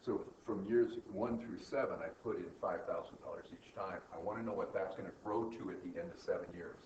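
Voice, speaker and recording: A man's voice: sits low, brisk. Male teacher, conversational explainer who checks understanding; muffled, roomy recording. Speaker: finance teacher. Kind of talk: informal classroom explanation, thinking aloud. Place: indoor classroom. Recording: echoey room, distant mic, muffled, faint noise.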